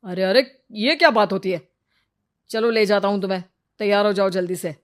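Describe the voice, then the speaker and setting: A woman's voice: medium pitch, moderate pace, voice pushed down, natural voice. A woman voicing a nagging, bossy young husband in a story, scolding and sarcastic.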